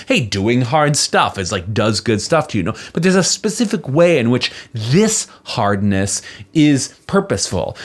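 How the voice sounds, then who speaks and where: A man giving a casual explanatory talk as a presenter, conversational, often posing rhetorical questions and checking in with short tags. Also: mid-range voice. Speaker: presenter of a Bible commentary channel.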